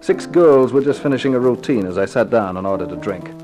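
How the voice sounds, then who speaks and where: A man's voice: mid-range voice, animated, brisk, natural voice. An actor playing a cool, wisecracking private eye in a 1950s radio detective drama, terse and wry in his slangy delivery. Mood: moody narration. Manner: terse, wry, slangy tough-guy talk. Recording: vintage 1950s radio recording with music.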